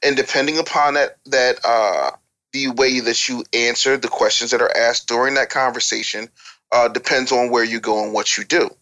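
A man's voice: mid-range voice, steady tone, normal speed, bright, forceful tone, low-quality recording. An American man talking as an opinionated guest on a casual talk show, emphatic and repeating phrases for effect like a storyteller. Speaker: interview guest.

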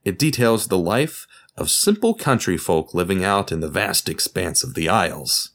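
A man narrating a scripted documentary podcast, telling it like a story with deliberate dramatic emphasis. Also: sits low, big pitch swings, fairly bright tone.

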